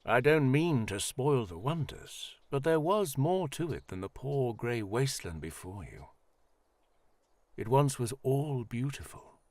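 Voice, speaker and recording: A man playing an elderly high priest in a fantasy audio drama, kindly and solemn, speaking in a formal, measured, reassuring way. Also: sing-song, darkish tone, no room sound. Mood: gracious, wistful.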